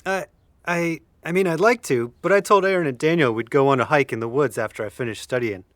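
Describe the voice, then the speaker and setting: A man's voice: a little high, a little fast, no echo, studio quality, close to an everyday voice. A man playing a supportive, mild-mannered college student in his early twenties in an audio drama. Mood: casual. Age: young adult.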